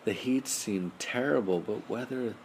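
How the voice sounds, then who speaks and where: A man narrating a children's bedtime story in a measured storybook style, as an audiobook reader. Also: mid-range voice. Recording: noisy amateur home recording.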